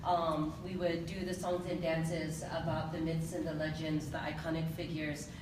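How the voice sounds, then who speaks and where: Woman, island-raised choreographer, loud teaching voice; distant, roomy, noisy live recording. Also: low voice, level tone, moderate pace. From Pacific Islands. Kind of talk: live event interview and demonstration. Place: large echoey event hall. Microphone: distant handheld phone recording. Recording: echoey hall from far off, noisy.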